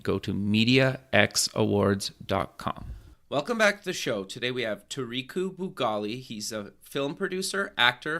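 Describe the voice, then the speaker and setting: A male host opens his show from a script, then chats with a guest, full of enthusiasm and soft hedges. His voice sits at a middle pitch with a gravelly edge. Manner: enthusiastic, hedging with "kind of". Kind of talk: scripted show intro, then casual interview.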